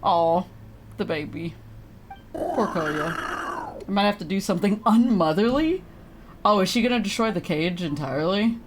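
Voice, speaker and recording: Blunt, animated woman streaming a game. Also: mid-range voice, moderate pace, long pauses, noisy background. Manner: blunt, sarcastic, swearing, giggly. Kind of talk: casual game commentary. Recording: faint game music behind.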